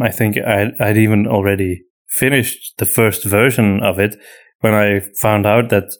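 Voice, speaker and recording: A man talking casually and unscripted as a podcast host, often halting and repeating himself as he searches for words. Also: fairly low pitch. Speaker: podcast host and story writer answering listener questions.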